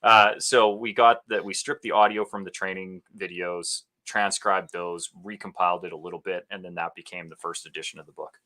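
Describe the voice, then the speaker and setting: A man's voice: low voice, fairly quick, hardly any pauses. A man from Alberta, a podcast guest who is an actor and speaker, telling his story animatedly in a casual interview. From Alberta, Canada. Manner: animated storyteller, bursts of laughter and acted-out quotes.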